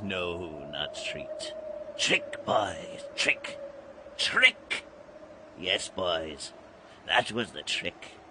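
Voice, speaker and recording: An actor playing a theatrical, sinister old guide to Halloween in an audio drama, grandiose and teasing with dramatic pauses. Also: male voice, sits low, background noise, heavily acted. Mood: sly glee. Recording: music behind the voice.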